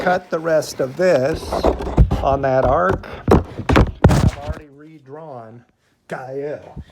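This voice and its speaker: A man teaching art in an informal, chatty, rambling hands-on demonstration, easily drifting into asides. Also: mid-range voice. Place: at home, indoors. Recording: noisy home recording, clicks.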